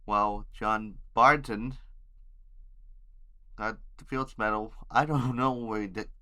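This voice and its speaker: A man hosting an amateur news podcast, reading headlines haltingly with informal asides and stumbles over words and names. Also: lowish voice, steady tone, bright, forceful tone, clean tone.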